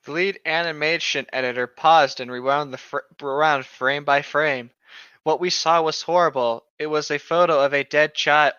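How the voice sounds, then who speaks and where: A man's voice: on the high side, fairly even intonation, normal speed, pushed and bright, clean tone, no room sound, highs cut off. A man co-hosting a comedy podcast, casually riffing on horror stories with mocking, exclamatory, profane remarks. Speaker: podcast co-host.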